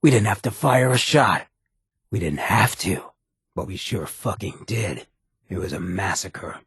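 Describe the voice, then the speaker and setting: An actor playing a roguish ghoul mayor in a video game, a swaggering former drifter with a very raspy, gravelly voice and a cocky, streetwise drawl. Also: male voice, deep voice, animated, unhurried, a bit bright, no echo, studio quality, exaggerated voice. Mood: brooding storytelling. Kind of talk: video game companion dialogue.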